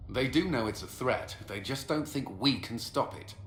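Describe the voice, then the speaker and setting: An actor playing an earnest, disciplined knight commander in a fantasy video game, with a firm, plain-spoken delivery. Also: male voice, mid-range voice, animated, moderate pace, a bit bright, faint music behind, close to an everyday voice. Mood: sober. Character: earnest, disciplined male military commander, former templar knight. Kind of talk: video game cutscene acting. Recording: roomy echo, noisy ambience.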